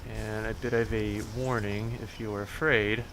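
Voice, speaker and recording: A man with a congested voice giving a dry, sarcastic narrated tour of a run-down cemetery, often halting and correcting himself. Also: fairly low pitch, level tone, background noise. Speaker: video host.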